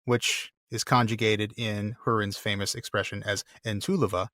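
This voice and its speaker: A man's voice: lowish voice, level tone, normal speed, bright, forceful tone, dry recording, studio quality. A man co-hosting a podcast, reading listener mail and explaining word origins with enthusiasm, laughing often. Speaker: podcast co-host.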